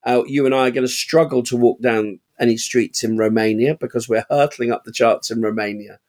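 A man co-hosting a podcast, telling animated stories from his auction house, acting out quoted voices and laughing often. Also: medium pitch, fairly quick. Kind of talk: casual chat.

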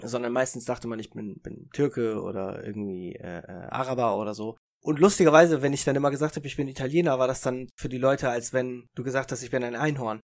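A man's voice: medium pitch, a little fast, hardly any pauses. A young man from Germany, co-hosting a casual podcast chat, rambling with restarts and fillers and laughing often. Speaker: podcast co-host.

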